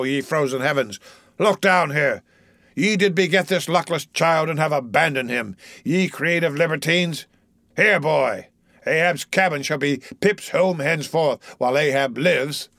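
A man's voice: a little high, unhurried, a bit bright, dry recording, studio quality, pitched above the performer's own voice. A man voicing a mad, brooding old sea captain in an audiobook, declaiming grandly in archaic speech. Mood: grave passion.

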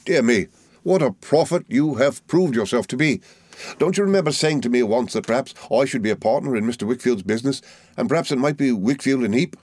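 A man's voice: medium pitch, lively intonation, a little fast, a little mellow, no room sound, pitched above the performer's own voice, natural voice. A man reading aloud and voicing a fawning, falsely humble young male clerk with a wheedling, obsequious manner.

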